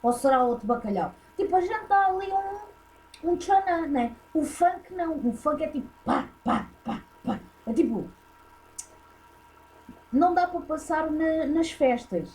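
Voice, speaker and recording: Woman, european portuguese accent, reaction host, blunt, rambling, warm mellow tone. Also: high voice, animated, moderate pace, low-quality recording. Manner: blunt, opinionated, rambling with many fillers. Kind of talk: casual reaction commentary.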